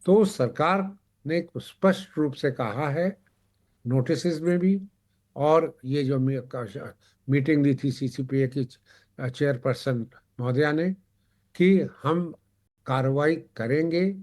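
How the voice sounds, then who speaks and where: A man explaining points as a TV panel guest, haltingly, often repeating and rephrasing his words. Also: a little high, a little mellow. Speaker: panel guest on a consumer affairs TV show.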